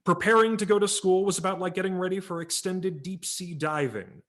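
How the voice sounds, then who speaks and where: A man narrating a humorous memoir story as a dramatic reading, wry and theatrical, letting his voice drop low at the ends of phrases. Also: a little fast. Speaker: audiobook narrator. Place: home, virtual reading.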